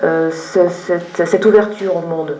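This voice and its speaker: Lady interviewer, slightly low voice, halting questions in bits and pieces, echoey room. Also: steady tone, dark tone, slight background noise. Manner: halting, builds questions in fragments. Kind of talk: conversational TV interview. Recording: roomy echo, distant microphone.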